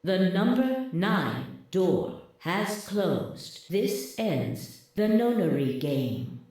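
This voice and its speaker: An actress playing a calm, polite computer announcer in a game, measured, formal and impersonal. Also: female voice, on the low side, heavily acted. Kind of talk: automated facility announcement in a game. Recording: echoing announcement with faint music behind.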